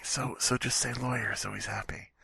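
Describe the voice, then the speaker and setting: A man's voice: mid-range voice, steady tone, moderate pace, creaky, bright, forceful tone, husky. A sarcastic, wisecracking man co-hosting a podcast, joking casually and reading stories aloud. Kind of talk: casual joking chat and mock-dramatic story reading.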